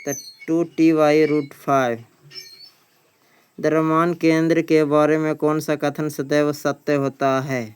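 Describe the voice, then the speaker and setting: A man teaches by reading out quiz questions and their answers. His voice is strikingly clean and smooth, pitched a little high, with very little melody. He is plain-spoken and often repeats a phrase. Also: level tone, slow. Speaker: teacher, exam coaching.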